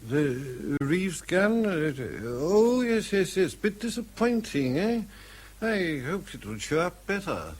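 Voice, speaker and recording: An elderly British professor in a radio drama. The voice is raised a little, husky and lively in pitch. He dithers vaguely, repeating himself and trailing through hesitations, flustered but in charge. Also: takes it slowly, exaggerated voice. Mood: vague, genial.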